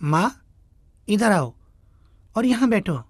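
A woman voicing an earnest young man, a caring son, in an animated story. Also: medium pitch, takes it slowly, slightly rough, dry recording, exaggerated voice. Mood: earnest, reassuring. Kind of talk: Hindi animated moral story acting.

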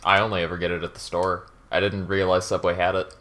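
A man's voice: sits low, little pitch movement, fast talker, pushed and bright. A man chatting casually as he plays a co-op speedrun at a gaming desk. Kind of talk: casual chat while gaming.

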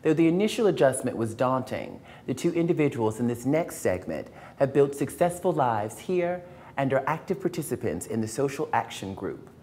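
Woman, host voice-over, dark mellow tone, measured delivery. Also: extremely low pitch, very animated, slight background noise. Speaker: show host narrating. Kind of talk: scripted voice-over narration.

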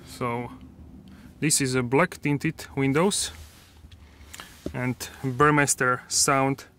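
A man in his thirties, speaking as an at a middle pitch, walks through a casual review in an easy, halting way, full of fillers and restarts. He holds a phone camera in a parked car, with faint ambient noise behind him. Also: fairly bright tone. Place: inside a parked car, then outdoors at a dealership lot. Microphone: handheld phone camera.

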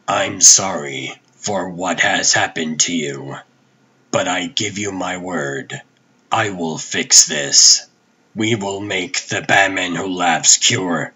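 A man voicing a grim, resolute masked vigilante hero in a comic dub, grave and deliberate. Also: sits low, husky, heavily acted, low-quality recording. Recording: loud music behind the voice.

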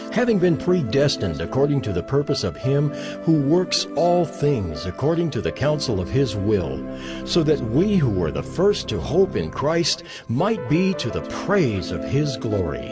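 A man's voice: medium pitch, very animated, measured pace. Man, scripture narrator, solemn dramatized reading, widely varied intonation, emphatic phrasing, prominent background music. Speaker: Bible narrator. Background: music under the voice.

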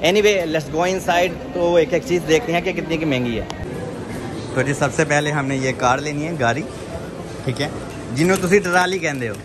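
A man's voice: fairly high pitch, moderate pace. A man, talking casually to viewers on his phone camera as he walks through a large supermarket, repeating "theek hai" often. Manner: chatty, addresses viewers as "janab", says "theek hai" often. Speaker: vlogger. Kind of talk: casual walk-through vlog. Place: large supermarket in a shopping mall, indoors. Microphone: handheld phone camera. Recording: noisy store background.

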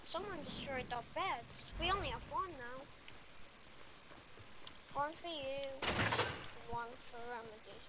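Heavy noise surrounds a high female voice. She chats through an improvised video game roleplay, speaking as a player in the game on a home gaming mic. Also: lively intonation, measured pace, pauses often. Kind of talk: improvised roleplay chatter during gameplay.

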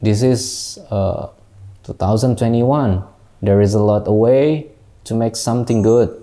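A young Indonesian man with an Indonesian accent, a tattoo artist, unboxing gear in halting English, recorded on a lapel mic in a small studio. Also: lowish voice, dark tone. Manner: unhurried, halting non-native English with many fillers. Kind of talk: casual product unboxing.

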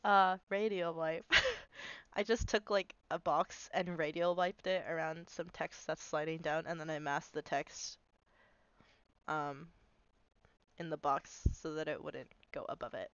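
Slow, nasal young woman with a cold. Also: deep voice, fairly even intonation, a bit bright, smooth, no room sound, dull audio. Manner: rambling, filler-heavy, self-deprecating. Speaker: animator explaining her video. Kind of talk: casual screen-recorded walkthrough.

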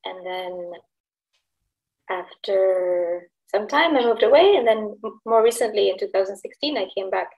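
A woman's voice: medium pitch, unhurried, gravelly, a little mellow, rough recording. A woman in her thirties, originally from El Salvador, talking easily as a podcast guest. She laughs often and lets her sentences trail into fillers. She is heard through a laptop mic on a video call from home. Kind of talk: casual interview.

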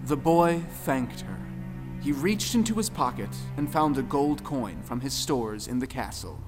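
A man's voice: mid-range voice, animated, measured pace, music in the background. A man narrating an audio play as a dramatic storyteller.

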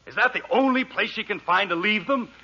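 An exasperated radio sitcom father of the 1940s. His voice is very sharp and slightly high, with big swings of dry sarcasm, on a dull vintage broadcast. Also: a little fast, husky, exaggerated voice. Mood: indignant. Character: grumpy, sarcastic middle-class father and husband.

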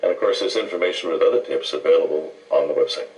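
A man's voice: sits low, fast talker, darkish tone. A man, hosting a cooking show in a casual, chatty way, on an old TV tape. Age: 60s. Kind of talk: casual TV cooking banter and presenting. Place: TV studio kitchen. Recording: distant mic, old TV broadcast tape.

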